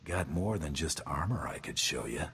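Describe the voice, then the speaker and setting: An actor playing a big, flirtatious tough mercenary in a video game, teasing and laconic. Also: male voice, rumbling low, lively intonation, normal speed, fairly bright tone, a little hiss or hum, full character voice.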